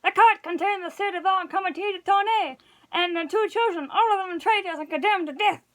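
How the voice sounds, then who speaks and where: Lady doing a panicky french army captain from a storybook, breathless, urgent, really high voice. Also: fairly quick, fairly bright tone. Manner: breathless, exclamatory. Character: urgent, alarmed male French captain of the guard.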